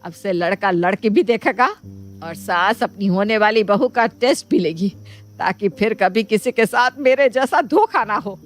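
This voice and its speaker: A woman's voice: mid-range voice, a little slow, natural voice. A woman voicing an emphatic, theatrical middle-aged Indian mother-in-law in a Hindi cartoon moral story. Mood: scolding, exasperated. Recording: music bed behind the voice.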